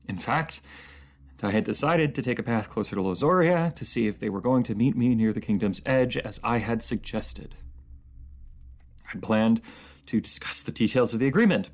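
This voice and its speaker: A man playing a proud, bitter captive ruler and former mercenary in a fantasy audio drama, speaking formally and sometimes haltingly. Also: medium pitch. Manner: halting, stammering when flustered, formal fantasy diction.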